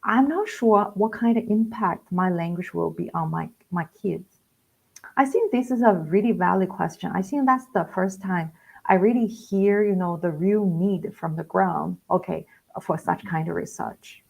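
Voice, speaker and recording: A woman researcher explaining her work as a guest on a video call. Her voice sits on the low side with a gravelly fry, and she talks haltingly, full of fillers and self-corrections. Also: poor audio. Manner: hesitant, many fillers and restarts. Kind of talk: live online interview, explaining research.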